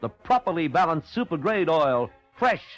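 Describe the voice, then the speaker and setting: A man's voice: on the high side, big pitch swings, moderate pace, faint music behind. A man delivering a scripted, persuasive 1960s radio advertisement on a vintage recording. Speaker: commercial announcer.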